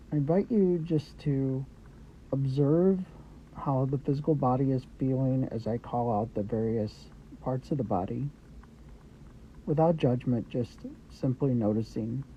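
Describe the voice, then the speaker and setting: A man guiding a meditation with gentle, unhurried instructions in short phrases with pauses between them. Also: mid-range voice. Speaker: meditation guide. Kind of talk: guided meditation, Yoga Nidra. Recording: dull lo-fi audio with background noise.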